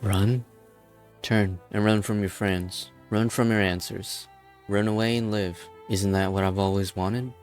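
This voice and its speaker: Man acting grim, low-voiced weary rebel leader. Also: steady tone, measured pace, darkish tone, faint music behind, close to an everyday voice. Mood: bitter, resolute. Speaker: amateur voice actor. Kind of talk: dramatic fan-dub voice acting.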